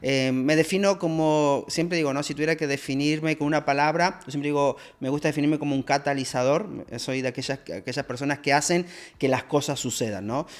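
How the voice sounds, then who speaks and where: A man's voice: a little high, brisk. A middle-aged man from Argentina, a leadership coach answering interview questions in a reflective way, with many fillers and tag questions.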